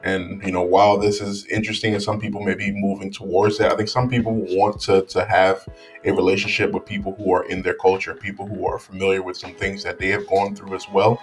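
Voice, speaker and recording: Low, flat-voiced man with faint music. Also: a little fast, far from the mic. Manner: thoughtful, many fillers and restarts. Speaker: vlogger. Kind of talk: casual commentary to camera. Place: small room indoors. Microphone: studio mic on boom arm.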